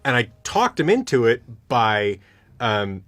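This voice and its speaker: A man's voice: mid-range voice, very animated, slow, fairly bright tone, no echo, soft background music. Man chatting casually, acting out exchanges. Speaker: audio drama producer and sound designer, interview guest. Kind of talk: casual chat.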